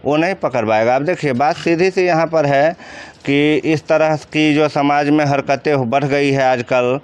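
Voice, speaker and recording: Bihari guy, slightly high clean voice, explaining stuff in an interview, asks questions then answers them himself. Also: unhurried, clean tone. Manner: colloquial, explanatory, poses and answers own questions. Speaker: commentator interviewed about crime cases. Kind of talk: informal spoken commentary in an interview.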